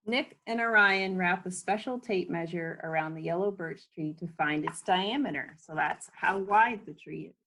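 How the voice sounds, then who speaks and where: A woman reading a children's book aloud at home in an unhurried way, explaining things in casual asides as she goes. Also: lowish voice, a bit bright, low-quality recording.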